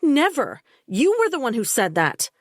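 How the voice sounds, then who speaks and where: A woman's voice: high-pitched, huge pitch swings, moderate pace, no room sound, clean studio sound, pitched above the performer's own voice, full character voice. A woman voicing a frantic, pleading young woman. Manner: pleading, insistent, loud.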